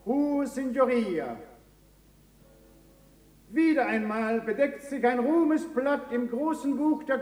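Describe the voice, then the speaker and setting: An actor playing a pompous official who proclaims grandly, pausing for effect, in a 1960s radio drama. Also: male voice, very high voice, full character voice. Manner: pompous, declamatory, halting for effect. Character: pompous male Venetian official proclaiming to the senate. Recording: long reverberant echo of a hall.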